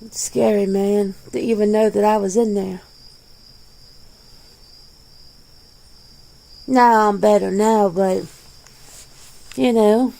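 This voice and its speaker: Woman playing a mellow, creaky, laid-back werewolf. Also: mid-range voice, steady tone, a little slow. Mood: candid, animated. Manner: blunt, casual, swearing, conversational. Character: jaded, defensive werewolf in their forties, plain-spoken. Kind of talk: ASMR roleplay of an interview.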